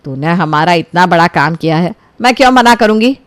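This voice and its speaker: A woman's voice: on the low side, lively intonation, fairly quick, no echo, pitched below the performer's own voice, natural voice. A woman voicing a fussy, demanding but generous mother in a storybook tale, exclaiming and bossy. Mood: pleased, generous. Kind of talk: animated storybook dialogue.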